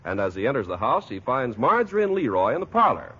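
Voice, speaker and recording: A man's voice: mid-range voice, sing-song, fast, fairly bright tone, breathy edge. An American man announcing on 1940s radio with polished, emphatic salesmanship, on an old muffled recording.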